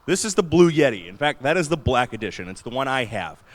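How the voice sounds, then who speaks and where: Guy with a big booming voice, chatty and excited, talking at a con panel in a big room. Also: fast. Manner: enthusiastic, chatty, laughs often. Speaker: convention panelist. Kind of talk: casual panel talk on streaming gear. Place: large convention panel room.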